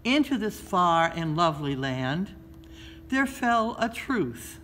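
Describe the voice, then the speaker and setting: A woman reads a children's story aloud in a measured, expressive storytelling style, pausing between phrases. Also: fairly low pitch. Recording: noticeable background noise.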